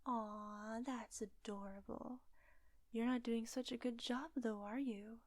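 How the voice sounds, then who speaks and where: A seductive witch, acted by a woman in an intimate audio drama, pitched fairly high yet mellow. She is breathy and unhurried, teasing as she gives commands. The sound is close and very dry. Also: fairly even intonation, no echo. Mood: smug, controlling. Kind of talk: roleplay audio drama, intimate ASMR-like delivery.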